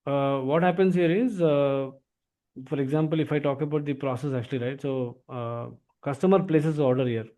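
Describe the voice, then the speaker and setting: A man with an Indian accent explaining software as an instructor, often tagging phrases with "actually". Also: medium pitch, moderate pace. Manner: repeatedly tags phrases with "actually". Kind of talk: explanatory software training lesson.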